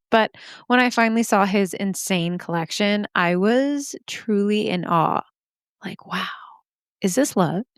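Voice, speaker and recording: A hoarse woman in her thirties chats into a close mic, her scratchy voice thick with vocal fry. She rambles happily through self-deprecating asides, the tone smooth underneath the rasp and the sound dry and close. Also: medium pitch, no room sound. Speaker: podcast host. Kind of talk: chatty podcast intro.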